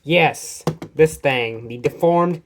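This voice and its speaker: A woman's voice: low voice, takes it slowly, heavy background noise. A woman giving a casual, sweary show-and-tell as host of a collection video, blunt and easily irritated.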